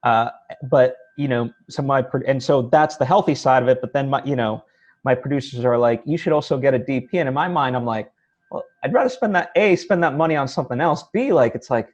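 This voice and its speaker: A man hosting a casual interview, talking haltingly as he thinks aloud, peppering his speech with filler phrases. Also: mid-range voice. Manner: halting, thinking aloud, heavy use of "like" and "you know". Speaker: interview host, independent filmmaker. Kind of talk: casual chat.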